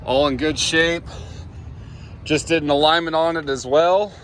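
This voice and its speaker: A man giving a casual walkaround sales pitch as a truck seller, plain-spoken and in short clipped phrases. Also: steady tone, measured pace, a bit bright, slight background noise. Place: outdoors around a truck and inside it while driving.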